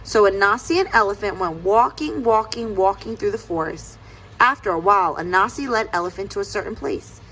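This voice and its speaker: A woman narrating a children's story aloud in an expressive storytelling style. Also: mid-range voice, fairly quick, a little hiss or hum, poor audio. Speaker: storybook reader.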